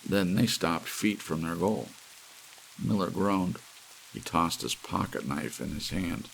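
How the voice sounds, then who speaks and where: A man with a very low, gravelly voice reads a sci-fi story as an ASMR audiobook. Soft rain and faint hiss run behind the narration. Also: slow pace, rough recording.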